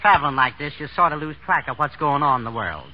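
Man acting a slangy small-time chiseler, wildly swooping pitch. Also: expressive, fast, lightly acted. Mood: smug, sly. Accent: American. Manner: slangy, stammers when scared. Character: pushy small-time male chiseler, cowardly when threatened. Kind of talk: 1930s radio acting. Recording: dull, noisy 1930s radio recording.

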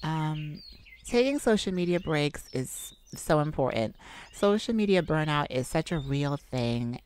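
A woman with a deep voice speaks in an ASMR style, like a podcast host improvising. She is informal and reassuring, talking straight to her listeners about looking after themselves. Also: a little hiss or hum. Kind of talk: improvised ASMR-style self-care talk.

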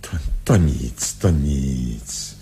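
An actor in a radio drama playing a dazed, feeble male doctor who speaks haltingly and repeats himself. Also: a bass voice, lively intonation, dark tone, exaggerated voice. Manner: halting, repetitive.

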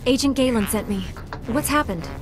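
A woman acting as an idealistic female knight from a space fantasy game. She speaks earnestly and urgingly in a fairly high voice, with faint ambience behind her. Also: normal speed, background noise, natural voice. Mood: concerned. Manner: earnest, exhorting.

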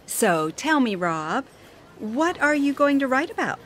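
A woman's voice: high-pitched, very animated, unhurried, a bit bright, a little hiss or hum, heavily acted. A woman reading a scripted coursebook dialogue as an upbeat, chatty photographer.

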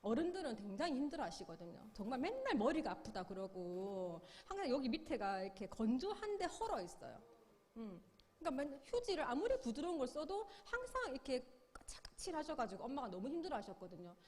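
A woman's voice: high voice, very animated, brisk, darkish tone, big-room sound. A middle-aged woman giving a sales seminar lecture, upbeat, explaining with questions and acted-out quotes. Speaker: business seminar speaker.

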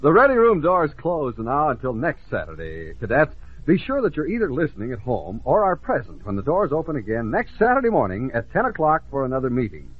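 A man's voice: mid-range voice, wildly swooping pitch, a little fast, phone-quality sound, slight background noise. An American man announcing on a 1950s children's radio show. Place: radio studio.

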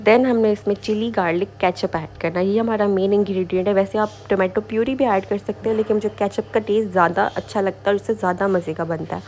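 A woman's voice: mid-range voice, fairly even intonation, quick pace, hardly any pauses, darkish tone. A woman giving a casual step-by-step recipe voice-over as a home cooking host, informal and repetitive, mixing in English kitchen words.

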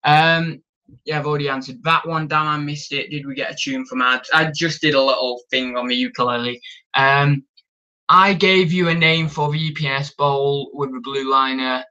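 A man's voice: medium pitch, steady tone, moderate pace, pushed and bright, muffled recording. A young man on a casual live stream, showing auction finds and reading the chat, rambling with plenty of ums and restarts, recorded on a camera in a small room. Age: young adult. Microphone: camera on tripod.

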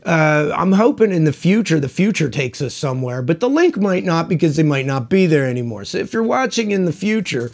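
A man's voice: fairly high pitch, vocal fry, darkish tone. A man in his forties, stuffed up and congested, chatting casually and rambling about guitar strings in his home music room on a lapel mic. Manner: rambling, folksy, hesitant restarts. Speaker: guitar reviewer. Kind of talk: casual product review chat.

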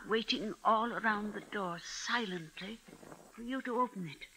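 An actress plays an elderly, fearful mother in a fifties radio drama. She frets and forebodes in a bright, forceful voice. The old broadcast recording carries light noise. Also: mid-range voice, moderate pace, natural voice. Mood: fearful, foreboding. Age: older. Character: fearful, foreboding elderly mother fussing over her pet. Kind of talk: 1950s radio drama acting. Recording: noisy vintage radio broadcast.

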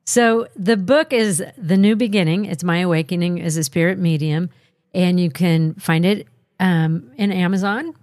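A woman podcast host wrapping up an interview and reading a smooth closing outro. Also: fairly low pitch, moderate pace, a little mellow, no room sound, studio quality.

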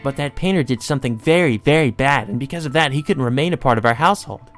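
A man plays a deranged teenage sister in a gothic game, pitched high above a normal male voice. She taunts with sweet cruelty, drawing out her coaxing words theatrically over background music. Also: fast, fairly bright tone, natural voice. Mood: gleeful, cruel. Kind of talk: acted reading of a visual novel game.

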